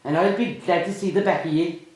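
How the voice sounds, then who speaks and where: A woman's voice: lowish voice, steady tone, brisk, a little mellow, close to an everyday voice. A woman playing a nagging, resentful character in a radio drama, bickering and snapping back. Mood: irritated. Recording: very echoey, noisy recording with clicks.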